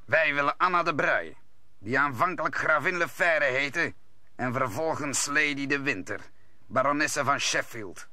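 A man's voice: fairly high pitch, measured pace, sharp tone. An actor playing a stern, commanding musketeer nobleman in an old Dutch radio play.

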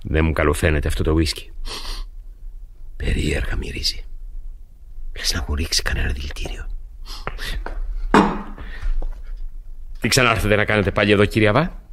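A man's voice: low voice, expressive, long pauses, a bit bright, slight background noise. An actor in a radio play as a desperate, paranoid husband, agitated and emphatic in his pleading. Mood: wary. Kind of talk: radio theatre acting.

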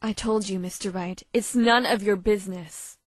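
A woman's voice: medium pitch, fairly even intonation, moderate pace, heavy background noise, close to an everyday voice. A woman voicing a haughty, intimidating female unicorn in a cartoon dub, formal, precise and curt. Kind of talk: cartoon fandub acting.

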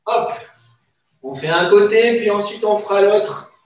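A middle-aged man leading an exercise class with short, energetic cues while moving. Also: high-pitched, measured pace. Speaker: fitness instructor. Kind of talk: exercise class instruction with counted repetitions. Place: indoors, bare room with wooden floor. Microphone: far camera microphone. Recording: echoey room, distant microphone, music behind.

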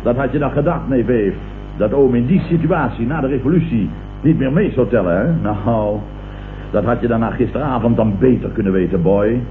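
A man's voice: mid-range voice, moderate pace, soft background music, lightly acted. An actor playing a vain, pompous middle-aged businessman who blusters and repeats himself in an old radio comedy. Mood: condescending. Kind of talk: 1970s Dutch radio comedy acting. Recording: old tinny, noisy, echoey radio recording.

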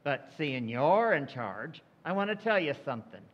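An actor playing an angry neighbour who threatens to sue, in a recreated old-time radio comedy. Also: male voice, fairly high pitch, very animated, moderate pace, lo-fi sound. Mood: indignant. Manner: stern, indignant.

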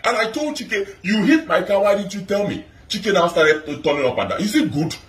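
A man's voice: high-pitched, lively intonation, a little fast. A middle-aged man with a Nigerian accent and a big voice, pressing his point in a heated argument at home, repeating his questions. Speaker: husband in a couple video. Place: indoors at home. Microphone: phone camera in a split-screen video. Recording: echoey, from a distance.

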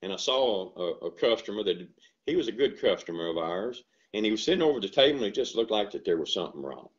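A man in his sixties with a Southern accent, a dairy farmer telling stories in an animated, earnest, folksy way on a video call through a headset. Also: fairly low pitch, fast talker. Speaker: dairy farmer and ice cream shop owner. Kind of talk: casual interview over video call. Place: home room with bookshelves. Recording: slightly dull lo-fi call audio.

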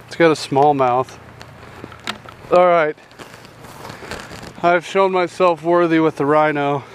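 A young man hosting a casual fishing vlog outdoors at a rural pond, chatty and excited, calling everyone "dude" and "guys", recorded on a head-mounted action camera. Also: fairly high pitch, slow, slight background noise. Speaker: fishing channel host.

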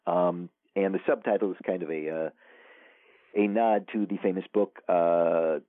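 A man's voice: low-pitched, fairly even intonation, unhurried, no room sound. A man being interviewed as an author, answering thoughtfully with many hesitations. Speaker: author guest. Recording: tinny phone line.

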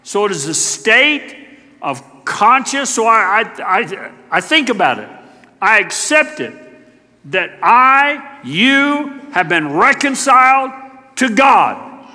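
A middle-aged male pastor preaching with a high, lively voice and a very bright, forceful edge. He moves between loud, emphatic declarations and quiet, hushed asides. His words ring out in a church sanctuary with a long, echoing reverb. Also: slow pace. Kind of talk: sermon.

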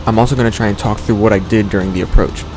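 A man's voice: lowish voice, fairly even intonation, racing. A young man, a student pilot, giving an explanatory voice-over for a flying video. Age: young adult. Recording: voice over background music.

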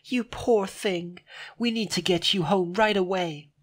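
A man voicing a gentle, motherly young female pegasus pony who speaks softly and dreamily. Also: high voice, measured pace. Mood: dreamy. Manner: soft, dreamy, soothing. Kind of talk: storybook reading.

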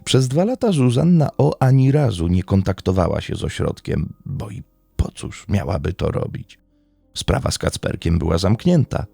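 A man narrating a scripted true-crime story in a measured storytelling manner with dramatic pauses. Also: lowish voice, big pitch swings, gravelly, mellow, breathy edge, no echo, faint music behind.

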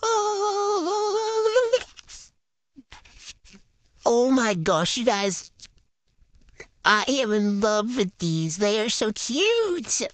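Teen girl, voice changer effect, excitable unboxing chat, lively up-and-down pitch, dry close phone sound. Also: very slow, long pauses, dry recording. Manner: gushing, excitable, chatty with fillers. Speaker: vlogger showing a haul. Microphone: handheld phone.

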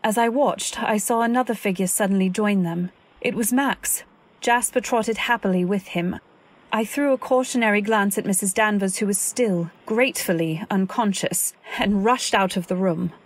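A woman playing an anxious, earnest wife, narrating a radio drama in a literary, deliberate way. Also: mid-range voice, close to an everyday voice. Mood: tense, reflective. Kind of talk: dramatic scripted narration, old-time radio.

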